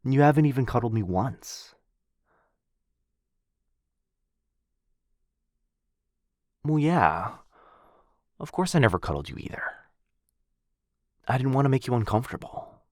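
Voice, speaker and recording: A man playing a shy, sweet, earnest dog-person roommate in an intimate boyfriend ASMR roleplay, speaking softly and hesitantly. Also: mid-range voice, lively intonation, no echo, clean studio sound, close to an everyday voice. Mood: puzzled. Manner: soft, hesitant, halting, bashfully rambling.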